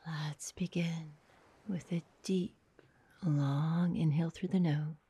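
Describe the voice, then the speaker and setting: A woman guides a meditation in a soft, breathy half-whisper, soothing and unhurried, leaving long pauses between short phrases. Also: low voice, steady tone.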